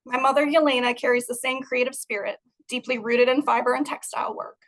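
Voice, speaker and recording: A woman's voice: high voice, fairly even intonation, fairly quick, fairly bright tone, rough recording. A young adult woman from Moldova, giving an earnest, prepared talk about her art over a video call. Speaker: guest artist.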